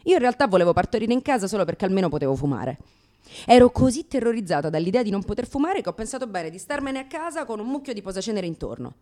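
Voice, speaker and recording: A woman's voice: medium pitch, sing-song, fast talker, warm tone, natural voice. An actress in a radio theatre monologue playing a brash, sarcastic, exasperated new mother in her thirties. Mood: wry recounting. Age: 30s.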